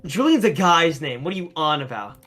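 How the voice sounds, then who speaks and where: A man's voice: a little high, very animated, a little fast, crowd noise. A young man interviewing a team in crude, casual banter, laughing often and teasing.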